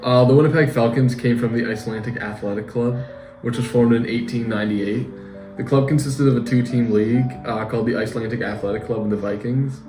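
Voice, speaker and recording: A young man playing a lanky 1920 hockey winger, hesitantly reading scripted interview answers in a flat delivery. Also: medium pitch, a little fast, natural voice. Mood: matter-of-fact. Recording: echoey room, distant mic, faint music.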